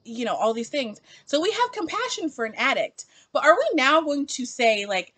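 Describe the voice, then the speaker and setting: A woman's voice: sits high, moderate pace. A woman in her twenties talking emphatically in a casual opinion vlog, with lots of "like" as she works through her thoughts. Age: 20s. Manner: animated, emphatic, heavy use of "like". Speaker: book vlogger.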